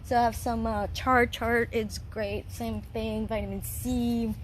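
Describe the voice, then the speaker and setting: A woman from Chile in her thirties talks through casual life advice from her home garden. Her voice sits a little high, and she is earnest and chatty, laughing easily. A faint background hiss runs under the recording. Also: flat delivery, a little slow. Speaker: vlogger.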